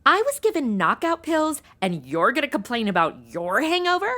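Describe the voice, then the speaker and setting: A woman's voice: sits high, big pitch swings, moderate pace, pushed and bright, heavily acted. A young woman playing a flippant, indignant, slangy modern detective's assistant in a comedy audio drama. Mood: exasperated. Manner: indignant, flippant, slangy valley-girl delivery.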